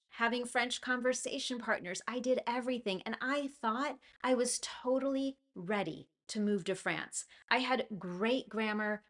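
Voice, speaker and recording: A woman teaching English to learners, talking through a clear, encouraging lesson with a personal story. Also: fairly high pitch. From United States. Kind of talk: scripted lesson monologue.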